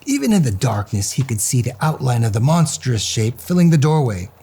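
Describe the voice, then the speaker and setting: Man, children's read-aloud, very husky, gravelly, dramatic, expressive, suspenseful. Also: mid-range voice, a little fast. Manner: theatrical, suspenseful. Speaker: storyteller, host of a story time show.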